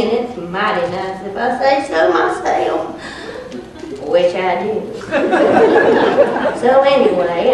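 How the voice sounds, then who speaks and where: Sassy folksy Southern woman, played by a young woman on a school stage; higher voice, Southern accent, distant and noisy. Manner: folksy, sassy, self-bragging storyteller. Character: feisty chatty Southern woman, proud homemaker. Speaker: student performer. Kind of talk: comic stage monologue. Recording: echoey hall from far off, noisy.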